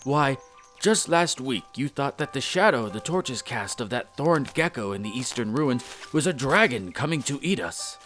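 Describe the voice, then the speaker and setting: A man voicing a harsh, skeptical master scholar scolding an apprentice, pompous, drawn-out and scornful, in a roleplay audio drama. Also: mid-range voice, animated, fairly bright tone, soft background music, close to an everyday voice. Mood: scornful, disbelieving. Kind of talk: scripted roleplay audio drama, mocking impression.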